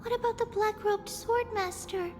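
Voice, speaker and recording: A woman's voice: very high voice, fairly even intonation, moderate pace. A woman voicing an earnest, anxious young girl in a video game, with a small childlike voice. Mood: confused, worried. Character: earnest young girl searching for missing companions. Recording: long hall reverb, music underneath.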